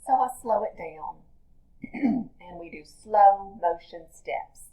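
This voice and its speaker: A woman teacher reading a picture book aloud to young children in a deliberate, expressive storytelling manner. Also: mid-range voice, poor audio.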